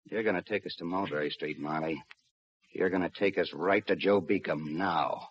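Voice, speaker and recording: Low-voiced hardboiled detective. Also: male voice, moderate pace, husky, no room sound, dull audio. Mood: stern, pressing. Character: hardboiled male police detective, gruff, commanding. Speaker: lead actor and narrator. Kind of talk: 1950s radio drama, terse narration. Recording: old 1950s radio broadcast.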